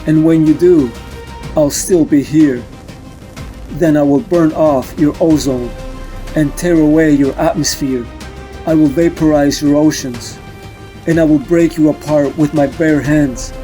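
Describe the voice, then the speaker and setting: A man playing a resolute, brooding superhero in a comic dub, measured and grave. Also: a little high, steady tone, warm tone, faint music behind, close to an everyday voice. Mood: defiant resolve. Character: resolute male alien superhero, brooding and defiant. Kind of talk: dramatic comic dub acting.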